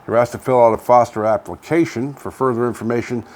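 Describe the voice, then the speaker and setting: A man's voice: mid-range voice, fairly even intonation, fast talker, a little mellow. An older man with a Canadian accent co-hosting a casual local news chat, sometimes reading items aloud, in a folksy, plain-spoken, rambling way. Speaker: co-host of a local online radio vlog. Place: home studio, indoors. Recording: clearly noisy home studio recording.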